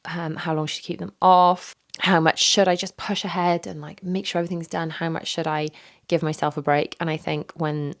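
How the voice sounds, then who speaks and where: Mum podcasting from her home office, croaky low gravelly voice, chatty and rambly, lots of ums. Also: little pitch movement, a little fast. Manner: chatty, candid, rambling with many fillers. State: hoarse, recovering from illness. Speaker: podcast host. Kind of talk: casual solo podcast chat. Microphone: studio microphone.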